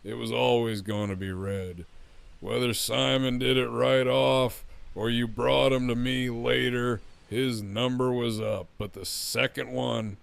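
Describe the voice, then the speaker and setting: A deeply gravelly male villain, acted by a man for an audio drama, close and dry. He is a swaggering gang leader who drawls slowly, taunting and crude, enjoying his own menace. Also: dry recording. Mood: smug, controlling. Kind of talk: full-cast fan audio drama acting.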